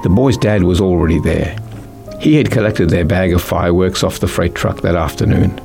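A man's voice: low voice, moderate pace, gravelly, darkish tone, soft background music. A man with a New Zealand accent reading a children's story as scripted narration. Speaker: author reading own book.

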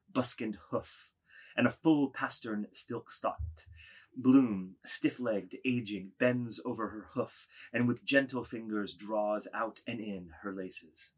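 A man narrating a literary drama, reading scripted stage directions in a deliberate, dramatic way. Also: fairly low pitch, breathy edge.

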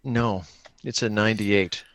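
A man's voice: lowish voice, steady tone, unhurried, a bit bright, dry recording. Man chatting through a tabletop game, dry sound. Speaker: podcast player improvising a solo tabletop game. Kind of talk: casual improvised tabletop game chat.

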